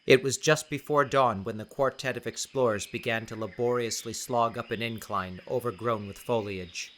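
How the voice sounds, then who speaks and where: A man reading dramatic scripted narration for an audiobook in a deliberate, theatrical way. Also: medium pitch, fairly even intonation, a bit bright.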